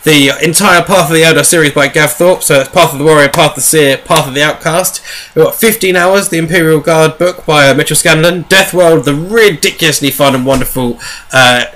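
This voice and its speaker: Bright-voiced man chatting casually, slightly high. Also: brisk, slight background noise. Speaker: host of a book review show. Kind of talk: casual chat reviewing books.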